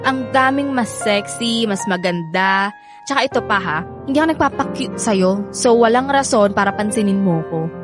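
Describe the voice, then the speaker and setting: A woman's voice: mid-range voice, lively intonation, normal speed, music in the background, lightly acted. An actress playing a feisty, guarded young woman in a radio drama, with a Filipino accent and an exasperated, emotive delivery. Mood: skeptical, flustered.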